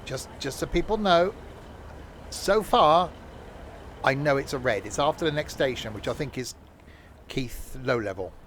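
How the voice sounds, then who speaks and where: A man's voice: mid-range voice, lively intonation, a little slow, long pauses, a bit bright, a little hiss or hum. Jokey man rambling through gameplay. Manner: rambling, jokey, chats with live viewers; frequent ums and self-corrections. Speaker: streamer. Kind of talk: casual gameplay commentary.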